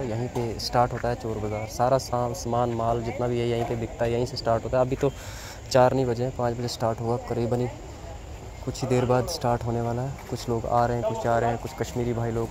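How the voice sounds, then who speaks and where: A man, chatting to viewers as he vlogs his trip into a handheld phone camera. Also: mid-range voice. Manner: chatty, keeps addressing viewers. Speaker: travel vlogger. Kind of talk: handheld travel vlog narration. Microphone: phone camera held at arm's length. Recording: loud traffic and crowd noise.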